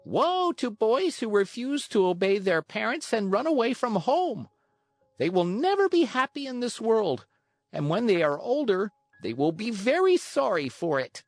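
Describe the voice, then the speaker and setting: A narrator voicing a tiny, wise old male cricket in a storybook, with a calm, preachy manner. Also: mid-range voice, measured pace, no echo, soft background music, pitched above the performer's own voice. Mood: solemn warning.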